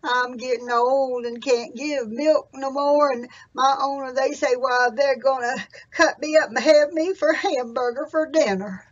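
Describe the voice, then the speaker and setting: A woman voicing a tired old cow in a storybook tale, lamenting in a slow wail. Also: high voice, fairly even intonation, highs cut off, voice pushed up. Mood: woeful.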